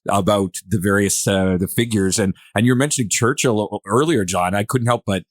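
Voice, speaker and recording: A man with a fairly low voice hosts a casual discussion. He feels his way into questions, restarting phrases and rambling a little. The sound is dry, with hardly any room on it. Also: a little fast, no room sound. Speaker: podcast host.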